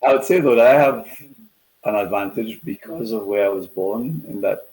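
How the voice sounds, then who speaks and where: A middle-aged man from Trinidad, a business consultant chatting as a guest on a video call in a relaxed, conversational way. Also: fairly low pitch, moderate pace, rough recording. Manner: relaxed and conversational, many "you know" fillers. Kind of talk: casual interview chat over video call.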